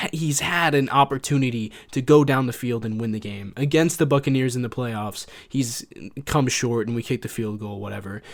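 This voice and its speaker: A man co-hosting a sports podcast, talking casually and making his case conversationally. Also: medium pitch.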